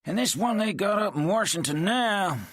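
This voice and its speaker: A man voicing a bitter, paranoid old laid-off miner who rants in a gruff, folksy drawl. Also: high-pitched, expressive, moderate pace, no echo, clean studio sound, voice pushed up. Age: older. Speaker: story character. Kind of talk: audiobook character voice.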